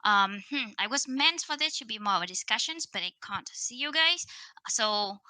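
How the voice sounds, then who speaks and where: Bright Vietnamese-accented woman giving a talk. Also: on the high side, lively intonation, moderate pace, no echo, low-quality recording. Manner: casual, many fillers and restarts. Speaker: conference speaker. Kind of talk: technical conference talk.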